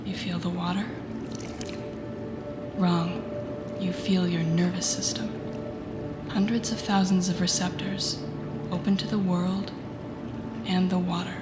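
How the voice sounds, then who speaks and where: Slow, low-voiced teasing villainess played by a woman. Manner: slow, soothing, guiding, teasing. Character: teasing, confident female telepathic villain coaching a rookie hero. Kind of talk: intimate audio roleplay. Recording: echoey indoor pool sound, music behind. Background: music.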